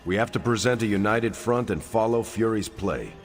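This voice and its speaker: Heroic leader, male actor: sober, steady, very low voice, game cutscene, light music behind. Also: moderate pace, lightly acted. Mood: firm. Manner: firm, sober. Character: earnest, steady male team leader hero. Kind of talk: video game cutscene acting.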